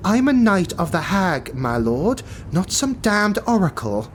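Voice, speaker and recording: A man's voice: sits high, big pitch swings, measured pace, a little hiss or hum, voice pushed up. A man voicing a haughty, easily offended knight who serves a lord in a fantasy audiobook, arch and indignant while still deferential.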